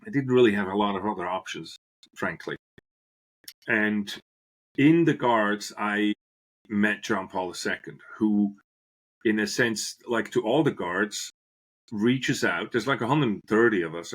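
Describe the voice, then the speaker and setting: A man's voice: lowish voice, measured pace, fairly bright tone, low-quality recording. A middle-aged man from Switzerland, a guest on a podcast, telling his story earnestly and often restarting phrases as he searches for words. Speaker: guest, business professor. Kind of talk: podcast interview, reflective storytelling.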